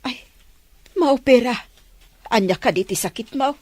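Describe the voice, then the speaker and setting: Actress doing a kind ilocano village auntie, radio play, highish voice, motherly and expressive, dry sound. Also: a little slow, no echo. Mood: curious. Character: kindly older village aunt, generous and concerned. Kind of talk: Ilocano radio drama acting.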